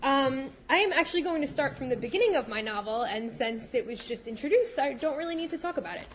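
A woman's voice: sits high, lively intonation, fast. Woman reading her novel, recovering from a cold. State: getting over a cold. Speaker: fantasy author. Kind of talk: reading her novel aloud at a live event.

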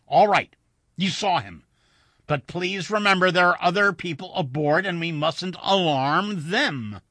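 A man's voice: mid-range voice, very animated, bright, forceful tone, no echo, studio quality, heavily acted. Man acting a firm, controlled airline pilot. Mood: placating. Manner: stern, measured, placating.

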